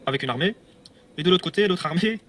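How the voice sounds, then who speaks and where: A man's voice: high-pitched, lively intonation, fast talker, fairly bright tone. A man telling his own story on video, rambling and hesitant, with repeats and nervous laughs. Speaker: ex-believer giving a testimony video. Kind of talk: casual video testimony.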